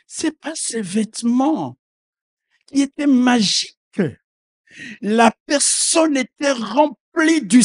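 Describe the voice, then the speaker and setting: A middle-aged bishop preaches in a sermon, speaking a language that is not his mother tongue. He delivers it slowly and deliberately, dropping into quiet asides before rising to loud, fervent proclamations punctuated by hallelujahs. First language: not French. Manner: swings from low asides to loud shouted proclamations.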